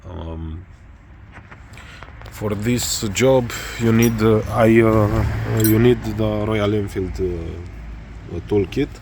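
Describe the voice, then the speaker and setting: Slow hesitant man, Romanian accent. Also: on the low side, darkish tone, slight background noise. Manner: halting, many fillers, searching for words. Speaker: motorcycle tutorial maker. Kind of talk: hesitant spoken tutorial.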